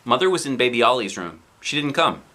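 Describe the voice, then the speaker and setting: Animated man reading a children's storybook. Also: medium pitch, brisk. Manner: expressive, dramatic emphasis. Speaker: dad reading a children's book aloud.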